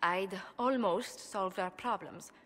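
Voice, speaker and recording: A woman's voice: mid-range voice, slow pace, a bit bright. Game casting: dutiful young noblewoman diplomat, formal and precise, anxious beneath composure, mid-range voice in a reverberant hall. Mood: troubled. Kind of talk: video game cutscene acting.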